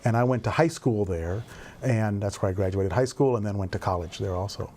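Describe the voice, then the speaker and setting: A man's voice: on the low side, a little fast, darkish tone. A middle-aged man who directs a volunteer program, answering interview questions in a thoughtful, rambling way with many fillers. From grew up in Indonesia and Indiana. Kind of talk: conversational interview.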